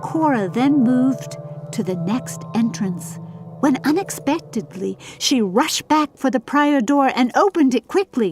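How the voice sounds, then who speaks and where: A woman with a high voice narrates a dramatic fantasy audiobook. She takes her time over the formal, theatrical phrasing. Faint music plays underneath. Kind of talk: scripted dramatic storybook narration.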